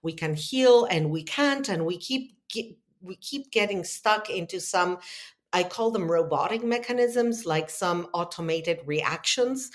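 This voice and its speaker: Low-voiced woman, earnest. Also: lively intonation. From Argentina. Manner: earnest and heartfelt, thinks aloud with pauses and restarts. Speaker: podcast guest, healing facilitator. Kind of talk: conversational interview, long explanations.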